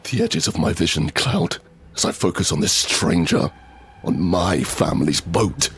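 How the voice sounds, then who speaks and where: An actor playing a desperate, vengeful father who narrates his post-apocalyptic survival in an intense, brooding, deliberate manner. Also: sits low, very animated, a bit bright, breathy edge, heavily acted. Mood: burning rage. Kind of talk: first-person scripted audio drama narration.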